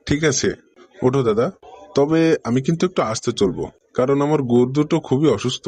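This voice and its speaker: A man's voice: mid-range voice, fairly quick, darkish tone, slightly rough, close to an everyday voice. A man playing an easygoing village bullock-cart driver in a cartoon, obliging. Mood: friendly. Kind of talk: comic cartoon acting in Bengali.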